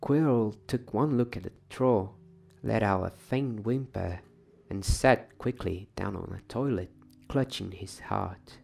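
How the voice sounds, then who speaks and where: A man reading a story aloud in a soft, hushed, breathy ASMR style, slipping at times into whispers. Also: on the low side, unhurried, faint music behind.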